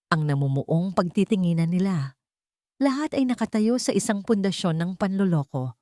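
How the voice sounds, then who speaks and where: Low-voiced Filipino woman narrating drama. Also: darkish tone, dry recording, clean studio sound. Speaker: story narrator. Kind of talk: dramatic scripted narration of a romance story.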